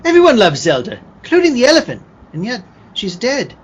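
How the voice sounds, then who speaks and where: A man playing a pompous, dramatic gentleman sidekick in an old-time radio play, full of old-fashioned exclamations. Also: very high voice, huge pitch swings, fairly quick, slightly rough, full character voice. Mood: theatrical concern. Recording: muffled video-call audio with faint noise.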